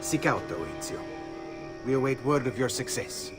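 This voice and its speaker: A man playing a measured, commanding leader of thieves in a video game. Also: mid-range voice, lively intonation, over loud music, close to an everyday voice. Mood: approving. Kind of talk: video game cutscene acting.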